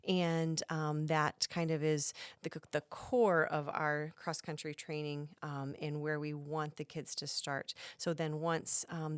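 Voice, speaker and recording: A woman's voice: deep voice, clear, resonant tone, no echo, studio quality. A woman cross country coach from Ohio with an Ohio American accent, answering interview questions thoughtfully with many ums.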